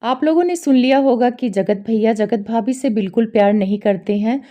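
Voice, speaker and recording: A woman's voice: on the high side, moderate pace, lo-fi sound. A woman vlogger giving gossip commentary, speaking directly to her viewers. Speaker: reaction vlogger presenting recordings.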